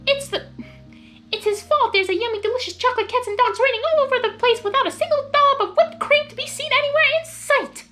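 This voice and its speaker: A woman voicing a bubbly, hyper young female cartoon pony in a squeaky, excitable way. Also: fast, faint music behind. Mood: excited.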